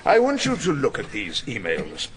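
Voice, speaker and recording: Wily, irascible older English male barrister: highly animated, dryly ironic radio-drama delivery over noticeable background noise. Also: moderate pace. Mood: probing. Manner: theatrical, probing, dryly ironic. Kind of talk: radio drama courtroom acting and narration.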